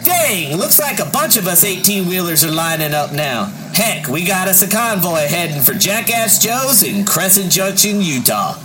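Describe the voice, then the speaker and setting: A man's voice: high-pitched, sing-song, moderate pace, few pauses, background noise. A man playing a folksy male trucker talking on a CB radio in a radio ad. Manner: folksy twang, trucker slang.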